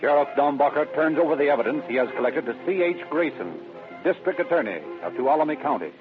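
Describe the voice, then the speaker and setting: A man's voice: a little high, animated, a little fast. Vintage radio narrator, man over music. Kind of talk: dramatic scripted old-time radio narration. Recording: thin narrow-band vintage radio recording.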